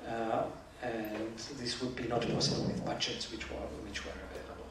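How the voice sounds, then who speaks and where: A man answers an audience question at a conference in halting, non-native English, filling pauses with "uh." His voice comes from far off in a big echoing hall, with clear background noise. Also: mid-range voice, level tone. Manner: hesitant, non-native phrasing with "uh" fillers. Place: large lecture hall. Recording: echoey, distant hall audio with noise.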